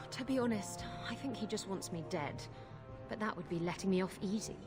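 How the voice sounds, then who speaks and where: A woman's voice: medium pitch, moderate pace, lightly acted. A woman playing a brash, unrepentant pirate captain in a video game, wry, flippant and defiant. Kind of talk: video game character dialogue. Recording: loud music behind the voice.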